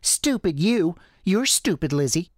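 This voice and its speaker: A performer voicing an angry, defiant young girl with a Southern US accent and sharp, folksy speech, in an audio drama. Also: medium pitch, very animated, unhurried, dry recording, clean studio sound, voice pushed up. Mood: angry, taunting.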